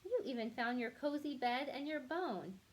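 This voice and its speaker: A woman playing a sweet young girl in a children's storybook, coaxing and gently scolding her new puppy. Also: sits high, animated, moderate pace. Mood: fond, curious.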